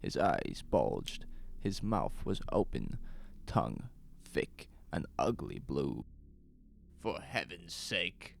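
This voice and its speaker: Male narrator for horror fiction: unhurried, creaky voice, measured and suspenseful, faint noise and ambience behind. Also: very deep voice, very animated, a little mellow. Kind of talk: scripted horror story narration.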